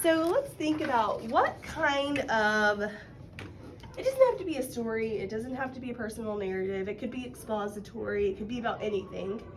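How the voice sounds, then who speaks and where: A woman's voice: fairly high pitch, animated, moderate pace, a little hiss or hum. A woman teaching a casual one-on-one writing lesson, encouraging and guiding with questions. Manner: encouraging, explains by prompting with questions.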